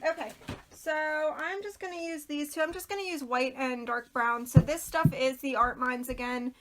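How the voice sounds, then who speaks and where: A woman talks her viewers through a craft project in an easy, rambling way, greeting them by name as she works. Her voice sits high and bright, with a slight worn, throaty edge. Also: moderate pace, a little hiss or hum. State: losing her voice, clearing throat. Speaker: craft video host. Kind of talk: casual livestream craft chat.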